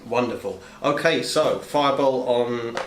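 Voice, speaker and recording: A man's voice: medium pitch, a little slow, a little hiss or hum. A man playing a tabletop game, chatting casually and thinking his moves through aloud. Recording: roomy echo.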